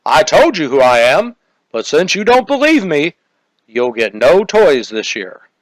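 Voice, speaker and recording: A man's voice: fairly high pitch, sing-song, a little slow, dry recording, voice pushed up, poor audio. A man voicing a jolly but easily indignant old Santa Claus in a comic detective parody.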